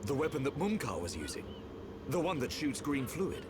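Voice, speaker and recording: Man acting a blunt young soldier, animated pitch. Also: moderate pace, background noise, lightly acted. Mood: questioning. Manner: blunt, questioning. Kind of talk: video game cutscene acting.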